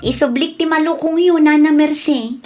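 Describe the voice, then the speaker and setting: A woman's voice: high-pitched, moderate pace, over loud music, lightly acted. A woman with an Ilocano accent playing a chatty, advice-giving village wife in a radio comedy drama. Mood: gossipy.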